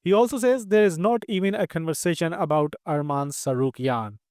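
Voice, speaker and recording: A man with an Indian accent reads the news at a steady clip in a high, smooth voice. The sound is dry and close, with no room around it. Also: no echo. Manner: quick, steady news read. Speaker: news host. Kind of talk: scripted news narration.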